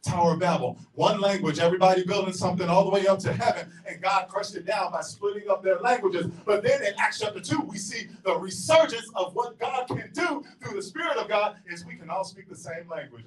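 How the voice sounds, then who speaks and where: A middle-aged Southern US pastor delivers a sermon, his high voice jumping from ringing shouts to hushed asides as he paces the stage. He speaks into a handheld mic in a large auditorium, heard from far back with a roomy echo. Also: fairly quick, few pauses. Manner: emphatic preaching, swings between shouts and low asides; paces and gestures across the stage while preaching. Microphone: handheld mic, filmed from far back. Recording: echoey hall from far off.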